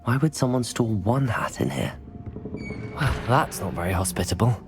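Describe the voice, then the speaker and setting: A man's voice: on the low side, very animated, moderate pace. A man voicing a curious, unflappable young wizard student in a video game, polite and dryly musing to himself. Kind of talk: video game protagonist acting. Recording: loud music behind the voice. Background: loud game music.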